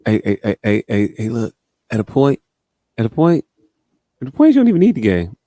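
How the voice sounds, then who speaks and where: A man's voice: mid-range voice, wildly swooping pitch, a little fast, dark tone, no echo. A man chatting casually as a podcast host, with slangy, profane, joking banter and a slight stutter. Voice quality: slight speech impediment with some stutter. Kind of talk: casual chat.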